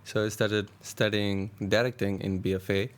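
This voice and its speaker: A man in his twenties from India, a directing student, speaking in a brisk, matter-of-fact way. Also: on the low side, little pitch movement, clear, resonant tone. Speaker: film student.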